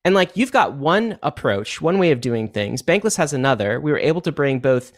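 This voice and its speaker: A man's voice: a little high, big pitch swings, a little fast. A man hosting a podcast, pushing back on his guest in a hesitant way with many fillers. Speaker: podcast host. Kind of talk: interview conversation.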